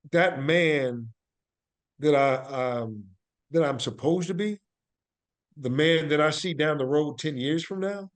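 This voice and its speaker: A man giving reflective interview answers as a guest, speaking earnestly and motivationally, repeating phrases for emphasis. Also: on the high side. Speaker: podcast guest, actor and playwright.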